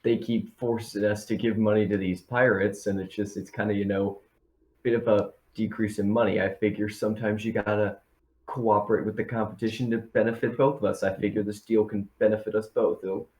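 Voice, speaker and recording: A man speaks in a low voice with very little melody. He plays a tabletop roleplaying game, talking casually and working out his reasoning in a hesitant, rambling way. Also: little pitch movement, brisk, a little mellow. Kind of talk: casual in-character game chat.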